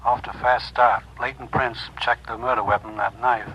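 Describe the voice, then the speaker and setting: An actor playing an officer bringing lab news, in clipped, quick vintage radio drama style. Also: male voice, medium pitch, flat delivery, pushed and bright, slightly rough, dull audio. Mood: reporting. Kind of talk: 1940s-50s radio drama acting.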